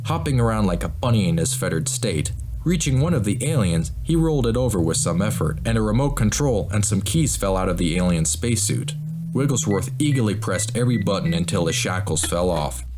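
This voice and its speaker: A man's voice: fairly low pitch, lively intonation, a little fast, over music. A man reading a scripted adventure story as an audiobook narrator, in a dramatic storytelling style. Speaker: author narrating his own audiobook. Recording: clear background noise and ambience. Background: sound-effect ambience.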